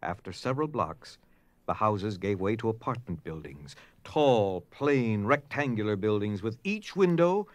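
A man's voice: fairly low pitch, big pitch swings, a little slow. A man narrating a scripted story dramatically in an audio drama.

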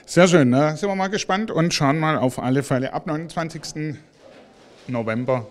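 Man, forties, entertainment reporter, slightly high voice, handheld mic, indoor event. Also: normal speed. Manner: friendly, frequent backchannel murmurs. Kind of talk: casual event interview. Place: indoor event room with passers-by.

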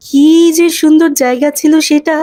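A woman voicing an earnest, pious boy who explains things gently in a cartoon. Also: high-pitched, fairly even intonation, moderate pace, no room sound, natural voice. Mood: wonder.

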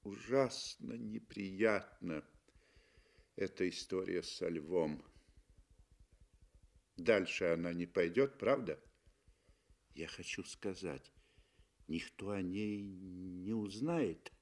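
A man's voice: deep voice, slow pace, pauses often, heavily acted. A man voicing an ashamed, anxious American man in his thirties for a radio story, hesitant and apologetic. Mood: ashamed, pleading.

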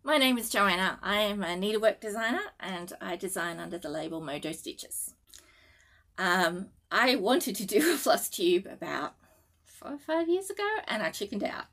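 A woman's voice: mid-range voice, lively intonation, normal speed. Giggly Australian woman, chatty to camera. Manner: chatty, giggly, warm thanks with many fillers and restarts. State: sleep-deprived and a little nervous. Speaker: needlework designer and vlogger. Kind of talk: casual chat to camera. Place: living room at home.

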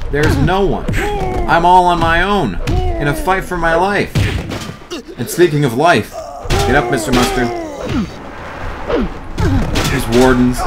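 A man doing a let's play commentary, exasperated, swearing and yelling at the game. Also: mid-range voice. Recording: commentary over loud game music. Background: game music.

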